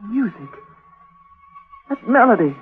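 An actress playing a haunted, anguished young bride driven to murder, faltering and dramatic in 1940s radio style. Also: female voice, on the high side, big pitch swings, slow pace. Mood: desperate. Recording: muffled old radio recording, music behind.

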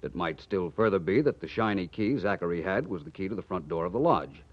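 An actor playing a suave, self-assured private detective, polished in manner, in a 1940s radio drama. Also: male voice, sits low, expressive, fairly quick, no room sound. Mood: explaining. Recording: dull 1940s radio recording.

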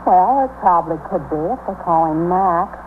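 A woman's voice: lowish voice, expressive, moderate pace, natural voice. An indignant young working woman played by an actress in 1950s radio drama style. She complains bluntly and impatiently. The sound is thin and narrow, with faint hiss from an old broadcast. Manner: blunt, complaining, plain-spoken.

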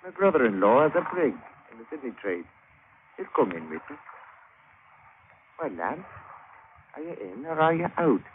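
An actor playing a scheming, commanding first mate who talks in a conspiratorial, insinuating way in a 1940s radio drama. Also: male voice, medium pitch, sing-song, moderate pace, pauses often, lightly acted. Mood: sly, conspiratorial. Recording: tinny vintage radio with faint noise.

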